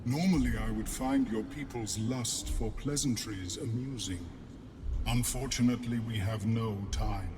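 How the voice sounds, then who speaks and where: A slow, reverberant creature voice acted by a man over noisy ambience. It is cold and formal, speaking into the mind in clipped, urgent phrases, as in a fantasy game. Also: mid-range voice, close to an everyday voice. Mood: cold urgency. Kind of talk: video game creature acting.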